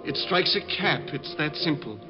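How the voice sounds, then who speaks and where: Man playing a high-voiced, deranged radio-drama bomber. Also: lively intonation, natural voice. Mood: proud, rapturous. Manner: dreamy, halting, fixated, grandiose. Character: deranged bomber, male, rapturous tortured would-be artist. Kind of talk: old-time radio drama acting. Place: radio studio. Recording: muffled vintage radio recording, music behind. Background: music.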